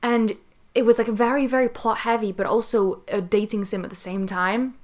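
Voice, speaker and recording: A woman's voice: a little high, steady tone, normal speed. A young woman co-hosting a casual podcast chat, speaking emphatically with plenty of swearing. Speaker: podcast co-host.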